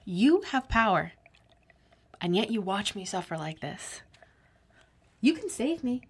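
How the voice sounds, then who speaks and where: A woman's voice: mid-range voice, big pitch swings, unhurried, breathy edge, exaggerated voice. An actress playing a bitter, wounded woman kept alive as a severed head in an audio drama, with a cutting, sarcastic delivery. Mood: anguished.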